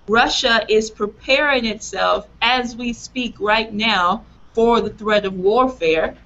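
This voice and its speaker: A woman in her forties, raspy from allergies, introducing a political talk in an earnest, emphatic way. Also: mid-range voice, bright, forceful tone. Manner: earnest, emphatic, laughs at own slips. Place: small office room, indoors. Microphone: webcam on a video call. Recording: dull lo-fi webcam sound.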